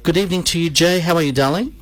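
A man with an Australian accent and a husky voice, hosting a community radio chat show with camp, playful banter. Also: fairly high pitch, rapid-fire, a bit bright, no room sound. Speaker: radio host. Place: radio studio. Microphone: studio microphone.

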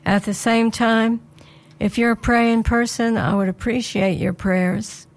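Slightly hoarse woman presenter. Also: a little high, steady tone, a little slow. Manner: earnest, prayerful, conversational. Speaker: radio presenter.

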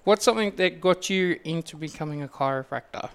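Higher-pitched, clear Australian man, rambling radio host. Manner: rambling, halting. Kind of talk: casual studio interview. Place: radio studio.

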